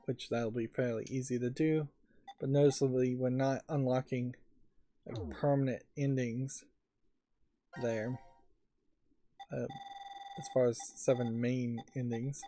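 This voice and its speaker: Mellow, musing male game commentator. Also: medium pitch, fairly even intonation, unhurried, long pauses. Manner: musing, hesitant, trailing off. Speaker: let's play host. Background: game music.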